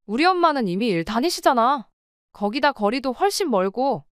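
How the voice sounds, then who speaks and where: A woman's voice: a little high, big pitch swings, moderate pace, clean tone, no echo, studio quality. A woman playing an exasperated wife who runs a restaurant, arguing with her husband and in-laws. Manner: pleading, then sharply arguing. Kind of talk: radio drama acting.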